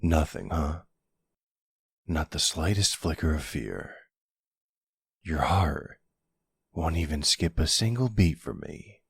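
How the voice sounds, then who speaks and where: A man playing a smug, flirty vampire in an intimate ASMR roleplay, teasing and softly menacing, with a husky, drawling delivery. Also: lively intonation, slow pace, long pauses, no room sound, clean studio sound, full character voice. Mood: menacing, playful. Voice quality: husky, gravelly, very deep. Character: flirty, smug male vampire taunting a captive hunter.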